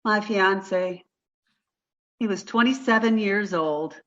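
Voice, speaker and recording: An actress playing an apologetic, grieving forty-three-year-old artist in a play reading, with a halting, emotional delivery. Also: female voice, mid-range voice, fairly bright tone, highs cut off. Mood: wistful grief.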